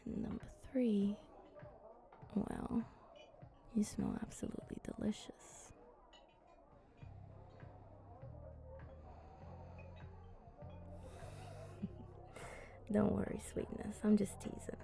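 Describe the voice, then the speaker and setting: Flirty vampire woman for ASMR roleplay, female performer: mellow, breathy and unhurried, coaxing and seductive, music behind. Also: mid-range voice, little pitch movement. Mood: flirty teasing. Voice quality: breathy, often dropping to a whisper. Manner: slow, teasing, coaxing.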